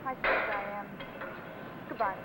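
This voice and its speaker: A woman's voice: medium pitch, steady tone, normal speed, lightly acted. An actress playing a wistful, lovelorn young woman with an American accent and a tentative manner, in old crime film dialogue. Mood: evasive, resigned. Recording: very noisy old 1950 film soundtrack.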